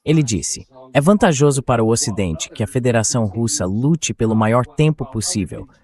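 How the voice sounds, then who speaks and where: A man's AI-dubbed voice hosting a political interview, explaining points in a measured way and putting questions to a guest. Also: mid-range voice, expressive, a little mellow. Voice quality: AI-generated dubbed voice. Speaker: host interviewer. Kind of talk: political interview with read-out quotes.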